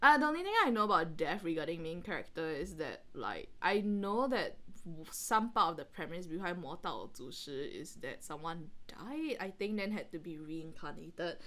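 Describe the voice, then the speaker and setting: A woman's voice: lowish voice, animated, normal speed, few pauses, a bit bright. A woman, giving casual, rambling, giggly commentary as a podcast host.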